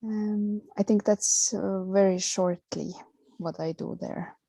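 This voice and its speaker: A woman talks slowly and hesitantly through a video call, pausing on many fillers. Her voice is mellow and soft, and the sound is dry and close. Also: medium pitch, steady tone, vocal fry, no echo. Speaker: stop motion teacher and project coordinator. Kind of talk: online info session talk.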